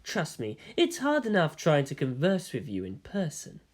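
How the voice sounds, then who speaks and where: A woman voicing a calm, cryptic young male hybrid who speaks in a soft, measured, quietly mocking way. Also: deep voice, exaggerated voice.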